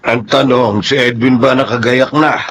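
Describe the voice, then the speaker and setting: Casting: actor as a working-class Filipino father, mid-pitched, practical, colloquial radio drama dialogue with faint noise behind. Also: steady tone, normal speed, a bit bright, lightly acted. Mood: practical, hurried.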